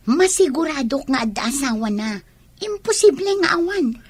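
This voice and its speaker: A woman's voice: high-pitched, sing-song, normal speed, no echo. A woman with a Filipino accent playing a brash, scheming young woman who complains to her friend in a radio drama. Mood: scheming, sly. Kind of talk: Ilocano radio drama acting.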